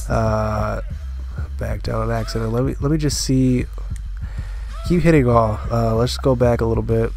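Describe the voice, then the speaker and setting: A man with a stuffy voice from a cold, giving relaxed gameplay commentary and thinking aloud with frequent fillers. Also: mid-range voice, vocal fry. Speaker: gaming channel host.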